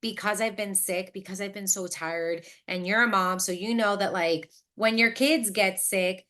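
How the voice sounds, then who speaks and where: A woman in her late thirties with a bright, forward voice and a middling pitch. She talks like a podcast host in casual conversation, reflective and wordy, sorting out her thoughts as she goes. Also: fairly even intonation, normal speed. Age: late 30s. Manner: self-reflective, thinking out loud, wordy.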